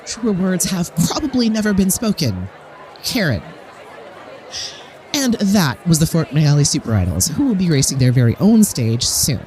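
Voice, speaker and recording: Female talk show host, played by an actress, self-promoting, backhanded, very animated delivery over crowd murmur. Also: on the low side, moderate pace. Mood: thinly polite. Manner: gushing with dry, backhanded politeness. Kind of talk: broadcast interview in audio drama.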